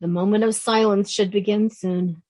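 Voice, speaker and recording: A woman acting in an audio play as a somber, comforting mourner with a deliberate, solemn delivery. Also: medium pitch, steady tone, a little mellow, natural voice.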